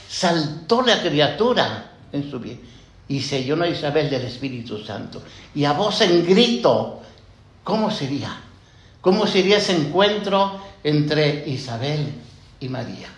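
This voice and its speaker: A man preaching a homily as a priest, reflective and unhurried, often pausing with "eh" and asking questions of the congregation. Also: a little high, roomy sound. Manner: slow, reflective, with many "eh" fillers and questions to the congregation. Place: church.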